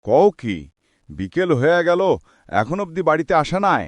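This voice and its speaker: A man's voice: fairly high pitch, big pitch swings, measured pace, heavy background noise. A man voicing a short-tempered woodcutter father in a cartoon, scolding and then calling out desperately. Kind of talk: cartoon voice acting.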